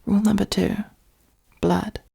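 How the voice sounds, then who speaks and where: Woman playing a gentle, creaky, whispering vampire. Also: mid-range voice, steady tone, measured pace, heavily acted. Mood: patient explaining. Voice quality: breathy, creaky. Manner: soft, breathy whispering, patient and reassuring. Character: gentle, protective adult vampire caring for a fledgling. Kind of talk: whispered comforting ASMR roleplay.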